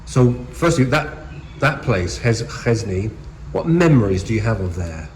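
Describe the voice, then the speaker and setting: A man asks casual interview questions, haltingly rephrasing them as he goes. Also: lowish voice, sing-song, darkish tone, slight background noise.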